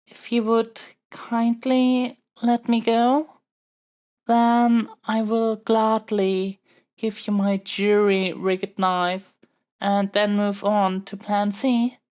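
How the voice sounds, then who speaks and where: A woman playing a stubborn, scheming, melodramatic young captive princess in a scripted audio roleplay, with formal, theatrical phrasing and halting pauses. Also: mid-range voice, darkish tone, clear, resonant tone. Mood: haughty, bargaining.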